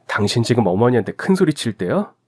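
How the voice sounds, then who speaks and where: A man's voice: medium pitch, sing-song, fast, slightly rough. A man playing an irritable husband who scolds combatively and lays blame in a radio drama. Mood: angry. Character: irritable, blaming husband defending his mother. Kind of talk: Korean story radio drama acting.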